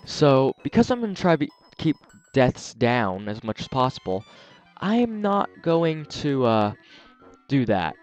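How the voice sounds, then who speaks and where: Man, slightly raspy, sore throat, chatty let's play commentary, faint music behind. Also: medium pitch, sing-song, measured pace, darkish tone, lo-fi sound. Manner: chatty, explains as he plays, talks to his game characters. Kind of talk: casual gameplay commentary.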